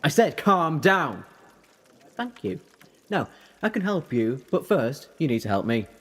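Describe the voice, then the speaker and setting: A man's voice: on the high side, very animated, unhurried, a bit bright, heavily acted. A man playing an eccentric, defiant, youthful-looking time traveller in an audio drama, rambling in a playful, theatrical way. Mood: playful, flustered. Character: eccentric, whimsical, defiant time-travelling alien Doctor who looks young.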